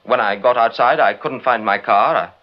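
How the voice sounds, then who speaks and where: A man playing a defensive, earnest gentleman writer under police questioning in a vintage British radio drama, in Received Pronunciation. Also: mid-range voice, moderate pace, lightly acted. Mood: uneasy, irritated. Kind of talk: mid-century British radio drama acting. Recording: dull, band-limited vintage radio recording.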